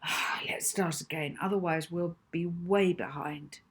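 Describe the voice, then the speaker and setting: Earnest schoolboy for a children's audiobook, acted by a woman; irritated, coaxing, breathy-edged. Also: fairly low pitch, steady tone, measured pace. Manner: irritated, exasperated, urging. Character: earnest, exasperated schoolboy, coaxing his friend.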